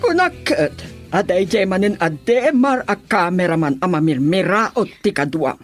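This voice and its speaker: A woman's voice: fairly low pitch, animated, measured pace, soft background music. An actress playing a stern, disapproving middle-aged mother, indignant and scolding, in a radio drama.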